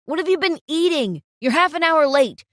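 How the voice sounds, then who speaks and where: A woman voicing a sneering, shouting male photographer. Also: lowish voice, animated, normal speed, no room sound, clean studio sound, pitched below the performer's own voice, natural voice. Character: rude, insulting male fashion photographer.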